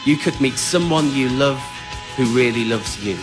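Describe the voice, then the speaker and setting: A man's voice: medium pitch, lively intonation, moderate pace, music in the background. Man telling a comic story on stage. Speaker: writer-performer of a one-man spoken word show. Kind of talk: scripted autobiographical comic storytelling to a live audience. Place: on stage in a radio theatre with a laughing audience.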